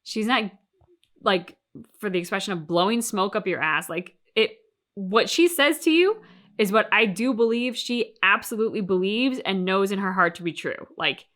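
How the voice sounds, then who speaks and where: A woman's voice: mid-range voice, normal speed, fairly bright tone. A woman co-hosting a reaction channel, talking through her thoughts casually and emphatically, with plenty of colloquial turns of phrase.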